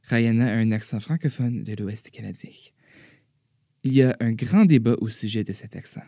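A man presenting a radio show from a script, with a husky voice. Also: fairly low pitch, normal speed, dark tone, no echo, clean studio sound. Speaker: radio host.

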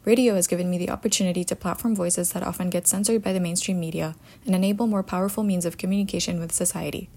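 Quick, mellow-voiced young woman. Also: on the low side, fairly even intonation. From Lebanon. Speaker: student news director. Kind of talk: scripted self-introduction.

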